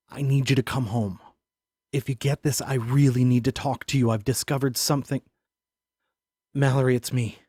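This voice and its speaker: An actor playing a haunted, paranoid man who narrates his own mystery in an audio drama, brooding and deliberate, with a husky voice. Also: fairly low pitch, warm tone, no room sound, studio quality, close to an everyday voice. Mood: desperate, pleading.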